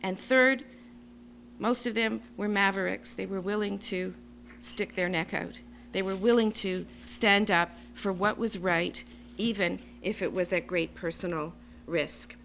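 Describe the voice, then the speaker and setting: A woman gives a semi-improvised public speech as an advocate, making her points emphatically and deliberately in short phrases. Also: mid-range voice, fairly even intonation, creaky, a bit bright. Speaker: advocacy group speaker at a symposium. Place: symposium venue. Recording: noisy live speech recording with hum.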